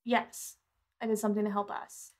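A woman's voice: mid-range voice, flat delivery, normal speed. A woman playing a tabletop role-playing game, answering in casual in-game conversation.

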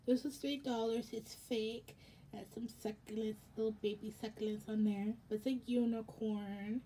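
A young American woman chatting casually for a haul vlog in a rambling, halting way, with a slightly raspy voice. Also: a little high, fairly even intonation, mellow, a little hiss or hum, poor audio. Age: young adult. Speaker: vlogger. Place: indoors in a home room.

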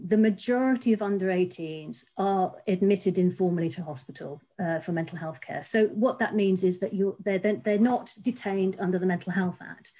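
A middle-pitched woman in her fifties speaks earnestly and deliberately, circling back on her words as she sets out legal concerns. She talks over a laptop webcam on a video call from a small home office. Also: warm tone, low-quality recording. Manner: measured, earnest, frequent restarts and fillers. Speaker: legal academic and author. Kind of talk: panel discussion remarks.

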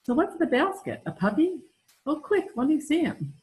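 A woman's voice: high voice, sing-song, moderate pace, darkish tone, poor audio. A middle-aged woman playing a genteel, put-upon wife in an amateur old-time radio comedy. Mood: eager. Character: melodramatic, put-upon wife feigning frailty, sweetly genteel. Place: room at home, video call. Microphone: video call.

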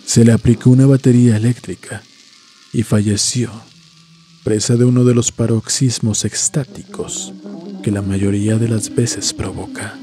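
A man reading a scripted horror story in a measured, literary storytelling manner. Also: medium pitch, steady tone, mellow. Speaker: narrator. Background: music.

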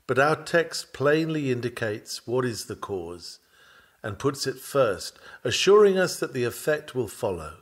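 A man's voice: a little high, fairly bright tone, slightly rough. Solemn man reading a sermon. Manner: measured, solemn, formal delivery. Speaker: sermon reader. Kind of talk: read-aloud sermon narration.

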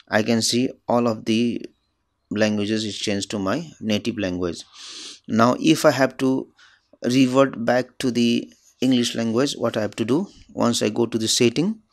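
A man whose native language is Nepali, hosting his channel and explaining phone settings step by step in plain instructional narration. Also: mid-range voice. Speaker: tech tutorial channel host.

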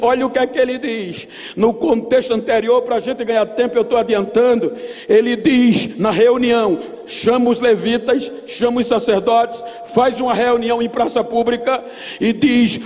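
A man's voice: extremely high pitch, darkish tone. An older Brazilian man in his sixties preaching an emphatic, oratorical sermon at a podium microphone to a large congregation. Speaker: pastor preaching at a youth Bible seminar. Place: large church auditorium. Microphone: microphone on a podium stand. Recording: long hall reverb from PA system.

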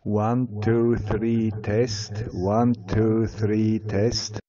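A man gives a slow, flat mic-check count with almost no pitch movement. His voice comes through a dull, muffled voice-chat link with a crowd murmur behind it. The sound is low-fidelity and muffled. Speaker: device tester.

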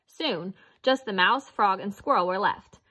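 A woman's voice: mid-range voice, measured pace, sharp tone, husky. A woman reading a children's picture book aloud as narrator. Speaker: storybook reader.

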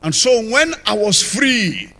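An older man with a Nigerian accent, telling his conversion testimony as a church apostle, with sudden rises and drops in loudness, on a handheld mic in a large church. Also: sits high, huge pitch swings, slow, gravelly. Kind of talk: testimony sermon. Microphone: handheld microphone.

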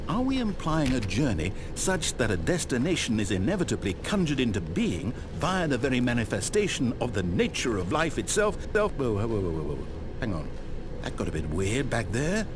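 Fussy theatrical british storyteller for a video game, super animated, chatty and easily exasperated, medium pitch. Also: male voice, moderate pace, background noise. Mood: sheepish. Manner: conversational, self-interrupting, dramatic. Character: fussy, whimsical, exasperated male storyteller-narrator. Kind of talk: theatrical scripted narration.

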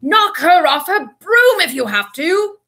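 A woman voicing a fierce, excitable teenage boy team captain in a storybook, roaring commands. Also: extremely high pitch, measured pace, voice pushed up, exaggerated voice. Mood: urgent roaring.

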